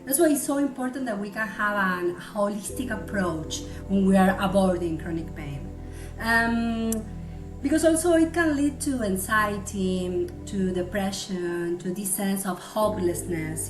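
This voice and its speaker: A woman's voice: fairly high pitch, echoey room, low-quality recording. A woman from Argentina with an Argentine Spanish accent, a psychologist presenting a webinar who often checks in with "yes?" and "right?".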